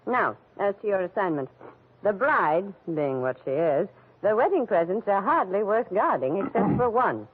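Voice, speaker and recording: A woman's voice: medium pitch, very animated, normal speed, husky. A woman playing an imperious, acid-tongued old wealthy widow in a 1940s radio drama, haughty, dry and theatrical. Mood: confiding, haughty. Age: older. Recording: muffled vintage radio broadcast recording.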